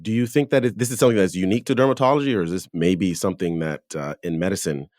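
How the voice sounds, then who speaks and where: A man with a very deep voice hosts a scholarly interview. He puts long, measured questions to his guest, and the sound around his voice is very dry. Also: a little mellow, dry recording. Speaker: podcast host, interviewer.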